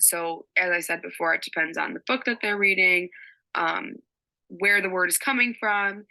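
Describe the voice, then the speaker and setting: A woman's voice: lowish voice, pushed and bright, poor audio. A young woman giving a recorded class presentation on a teaching strategy, explaining step by step with frequent ums. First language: English.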